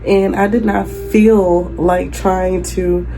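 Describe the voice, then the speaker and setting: A young woman with a slightly low, mellow voice that sounds stuffy from a cold. She talks through a tarot reading in a chatty, affirming way, often checking in with "okay?". She records in her bedroom on a camera in front of her, with soft jazz playing behind her. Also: flat delivery, takes it slowly, low-quality recording. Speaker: tarot reader. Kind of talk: casual tarot reading vlog. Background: jazz music playing.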